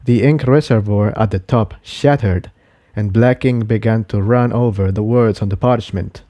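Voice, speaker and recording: A man's voice: lowish voice, moderate pace, dark tone, no room sound. A man reading a scripted fantasy adventure story as narrator. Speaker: fan fiction narrator.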